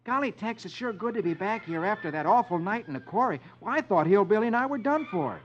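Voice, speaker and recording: Man voicing an eager boy, high and lively. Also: fast talker, slight background noise. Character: eager, wholesome boy of comic-strip adventure. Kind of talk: 1950s radio comic-strip dramatization. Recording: muffled old radio recording.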